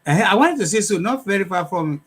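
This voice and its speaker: A man with a Nigerian accent hosting a conversational interview, speaking in halting, repetitive phrases and mixing in Yoruba. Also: high-pitched, lively intonation, a bit bright, slightly rough. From Lagos, Nigeria. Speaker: talk show host.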